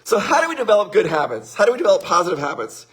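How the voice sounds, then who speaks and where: Upbeat middle-aged male motivational speaker, husky and fairly high for a man, live-stream feel. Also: huge pitch swings, rapid-fire, a bit bright. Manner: upbeat, greets viewers by name, laughs often.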